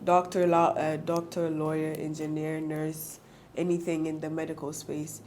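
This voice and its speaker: A teenage woman speaks. Her voice sits low and mellow. She answers earnestly, quick to agree. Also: little pitch movement, slight background noise. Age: teens. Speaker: pageant titleholder guest. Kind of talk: interview answers.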